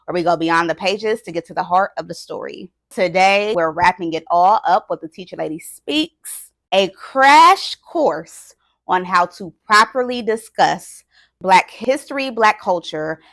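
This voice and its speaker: A woman hosting an educational talk as an experienced teacher, speaking in an expressive, emphatic way. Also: on the low side, unhurried, no room sound. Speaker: host and experienced early-years educator.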